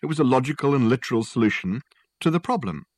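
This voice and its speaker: A man's voice: medium pitch, lively intonation, fast talker, no room sound, voice pushed up, natural voice. A man voicing an indignant, literal-minded programmer in a storybook, always justifying himself. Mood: self-justifying. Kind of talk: audiobook character dialogue.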